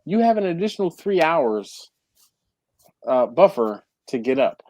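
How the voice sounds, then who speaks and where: A man's voice: fairly high pitch, sing-song, unhurried, a little mellow, no room sound. A man hosts a casual live stream chat, reading out viewer comments and ribbing a friend. His voice moves up and down a lot. The sound is dry and close. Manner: chatty, teasing, reads viewer comments aloud. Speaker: livestream host.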